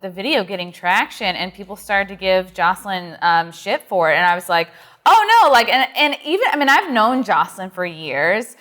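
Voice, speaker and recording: A woman's voice: mid-range voice, animated, normal speed, fairly bright tone. A woman comedian speaking earnestly and with passion in an interview, using many fillers. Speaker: comedian guest. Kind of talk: interview conversation.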